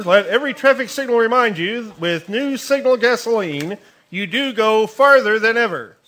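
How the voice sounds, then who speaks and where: A man as the show announcer, delivering a polished 1940s radio commercial and introduction. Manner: bright, polished sales pitch. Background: music.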